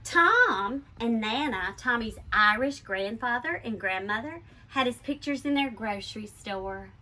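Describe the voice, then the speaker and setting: Bright-voiced woman reading a storybook aloud. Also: a little high, lively intonation, a little slow. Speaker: elementary school teacher reading a picture book aloud to pupils. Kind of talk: expressive children's storybook read-aloud.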